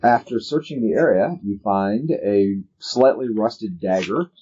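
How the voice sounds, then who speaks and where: Low-voiced man running a tabletop game. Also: unhurried, mellow, breathy edge. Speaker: game master. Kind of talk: narrating a tabletop role-playing game, off the cuff. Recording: dull lo-fi recording with faint noise.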